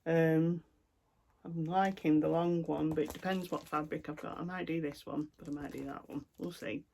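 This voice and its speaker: A woman's voice: low-pitched, steady tone, moderate pace, creaky, a little mellow, a little hiss or hum. A middle-aged woman with a broad Lancashire accent, chatting casually about her cross-stitch projects, with lots of ums and asides. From North West England. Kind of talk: casual show-and-tell chat.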